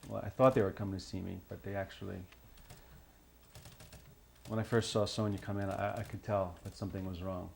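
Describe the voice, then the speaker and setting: A man's voice: sits low, level tone, brisk, gravelly, long pauses, a little mellow. An actor playing a shaken, hesitant man who gives a witness account in a drama, stumbling and repeating his words. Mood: uneasy, hesitant. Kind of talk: acted police interview testimony. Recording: background noise with keyboard typing.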